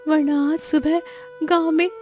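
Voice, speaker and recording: A woman's voice: high-pitched, level tone, measured pace, a little mellow, faint music behind, pitched above the performer's own voice. A woman acting a kindly, worried village neighbour in a cartoon moral story, grave and concerned. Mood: worried, relieved.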